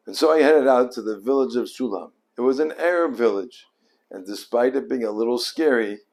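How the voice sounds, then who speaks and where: An older man telling a personal religious story in an earnest, devout way with deliberate phrasing. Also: medium pitch, creaky, darkish tone.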